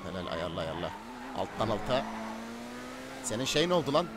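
A man's voice: on the low side, big pitch swings, a little fast. A man chatting casually and slangily as he streams a roleplay game. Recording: clear car engine noise behind. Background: game car engines and street sounds.

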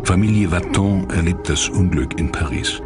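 A man with a low-pitched voice narrates a disaster documentary. His scripted delivery is grave and portentous, made for drama. Loud music plays underneath him throughout. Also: normal speed. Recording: loud cinematic music behind.

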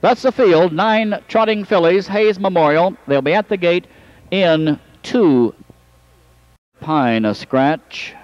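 A man with a high, bright announcing voice calls a harness race from the booth. He lists the field, then rides the race in a rapid rhythmic patter that climbs at the finish. The sound is dry and somewhat dull, like an older lo-fi broadcast. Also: no room sound.

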